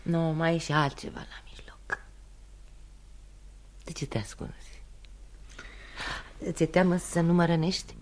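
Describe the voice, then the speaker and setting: An actress in a radio play playing a proud, wounded mistress in her thirties, speaking in an intimate, halting, wry way. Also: deep voice, fairly even intonation, in a whisper, lightly acted. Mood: probing, insistent. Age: 30s. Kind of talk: radio theatre acting.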